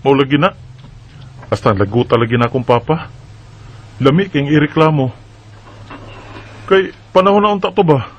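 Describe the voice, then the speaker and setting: A man's voice: on the high side, moderate pace, long pauses, muffled recording, lightly acted. A man with a Bisaya accent playing an indignant young farm son in a radio drama, protesting emphatically. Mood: aggrieved. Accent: Filipino Bisaya. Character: indignant grown son of a rural landowning family.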